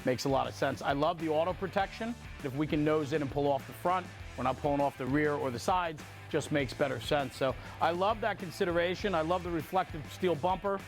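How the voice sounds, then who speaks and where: An enthusiastic man hosts an on-site interview at a quick clip. His voice sits a little high for a man, and he recaps and affirms as he leads into questions. Faint music runs behind him. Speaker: interviewer and host. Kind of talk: on-site walkaround interview about a fire truck.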